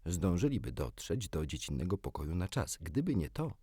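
A man's voice: deep voice, very animated, normal speed, mellow, slightly rough, no room sound, studio quality. A man narrating a children's storybook expressively, as an audiobook reader.

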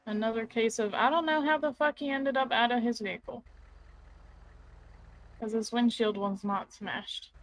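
A woman's voice: a little high, normal speed, pauses often, slight background noise, rough recording. A woman talking bluntly and swearing in casual in-game roleplay chat. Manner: blunt, profane. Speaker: roleplay partner playing a medic.

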